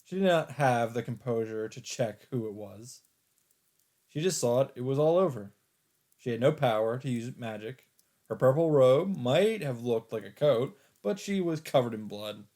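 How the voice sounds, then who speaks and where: A man's voice: medium pitch, lively intonation, normal speed, warm tone. A man hosting a let's play, reading a visual novel's narration aloud in a dramatic storytelling style. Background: game music.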